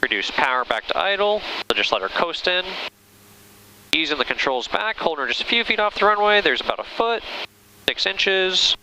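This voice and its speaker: A man's voice: sits high, moderate pace, poor audio. An American man giving step-by-step flying instruction and commentary from inside a small airplane, speaking on a headset. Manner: procedural, explains each step as it is done. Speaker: flight instructor. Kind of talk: instructional cockpit commentary with radio calls. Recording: clear aircraft engine and cabin noise. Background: steady engine drone.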